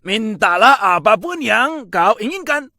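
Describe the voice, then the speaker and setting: A man's voice: sits high, big pitch swings, a little slow, bright, forceful tone, dry recording, clean studio sound, heavily acted. A man voicing a boisterous, exuberant genie in a fairy tale, exclaiming theatrically. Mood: eager, grand. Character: boisterous, exuberant male genie freed from a lamp.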